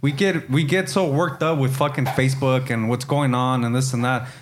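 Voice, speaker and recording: A man's voice: mid-range voice, fairly even intonation, moderate pace. A man co-hosting a casual podcast in a blunt, profane, slangy way. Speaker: podcast co-host. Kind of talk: casual chat.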